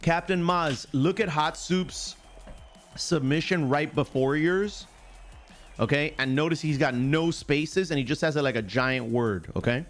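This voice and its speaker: A man's voice: fairly high pitch, a little slow, soft background music. A man hosting a live sports stream, chatting casually in chummy slang, greeting viewers by name and repeating himself.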